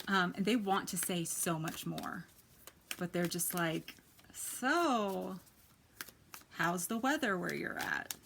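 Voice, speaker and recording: A woman in her thirties with a quiet, soft voice, chatting casually as she gives a tarot reading. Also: on the low side, slow, gravelly, a little hiss or hum, poor audio. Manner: chatty, lots of ums and likes. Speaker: tarot reader.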